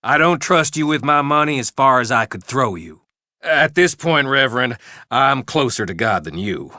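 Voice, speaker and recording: An actor playing a mean Old West outlaw in a video game, mocking and insulting his gang with drawn-out taunts. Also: mid-range voice, expressive, bright, forceful tone, no echo, clean studio sound. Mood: scornful. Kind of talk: video game character barks.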